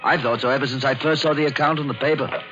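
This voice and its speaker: An actor playing an eager, talkative colonial man who hosts a visitor, in a 1940s radio drama, quick to jump to conclusions. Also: medium pitch, fairly even intonation, over music, lightly acted. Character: chatty, excitable colonial man, a keen host. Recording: dull lo-fi 1940s radio recording.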